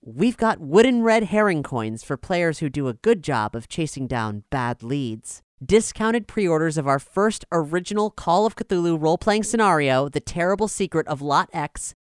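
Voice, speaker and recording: Female podcast host, smooth and slightly low, scripted update read with measured polish, dry studio sound. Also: lively intonation, dry recording.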